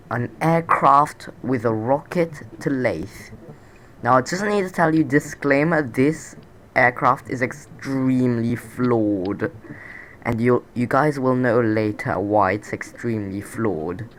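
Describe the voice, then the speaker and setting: A man's voice: medium pitch, slow pace, fairly bright tone, a little hiss or hum. A man with a sore throat and a nasal voice, rambling through casual game commentary with many fillers and restarts. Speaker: gameplay commentator.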